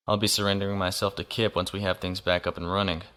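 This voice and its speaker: An actor playing a composed, principled naval officer in an audio drama. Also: male voice, sits low, little pitch movement, pushed and bright. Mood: calm. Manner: measured, composed.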